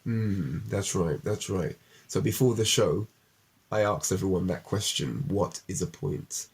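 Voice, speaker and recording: A man's voice: low-pitched, unhurried, creaky, slightly rough. A man interviewing casually, explaining things and encouraging the person he talks with. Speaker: interviewer, puppet show storyteller.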